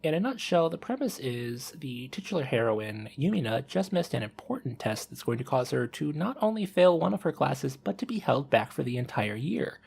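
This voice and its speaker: Man with lively, swooping, creaky voice. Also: medium pitch, normal speed, few pauses, slight background noise. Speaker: podcast co-host. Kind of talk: casual podcast talk and prepared review.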